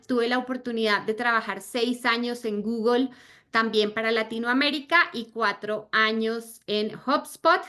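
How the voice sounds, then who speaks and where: A woman's voice: fairly high pitch, pushed and bright. A woman in her thirties from Argentina, leading an online sales training in a friendly, chatty way with many fillers. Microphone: video call.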